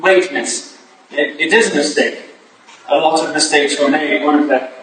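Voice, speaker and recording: A man playing a wry, courteous Victorian gentleman and exasperated brother in a staged drama reading. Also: fairly high pitch, normal speed, lightly acted. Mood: politely probing. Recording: echoey hall from far off, clearly noisy.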